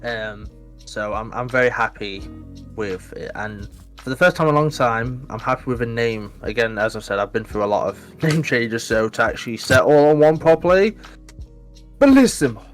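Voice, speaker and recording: Guy on a podcast, lively and punchy, faint music behind. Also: mid-range voice, normal speed. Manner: animated, emphatic, talks in short bursts. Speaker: podcast guest, Twitch mod. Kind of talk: casual podcast chat.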